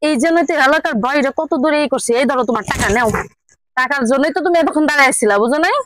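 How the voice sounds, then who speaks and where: A woman's voice: sits high, racing, noisy background, natural voice. An actress playing a blunt, nagging village woman in a drama, with a Bangladeshi regional accent. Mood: impatient, nagging. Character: bossy, sharp-tongued village woman, scolding and impatient.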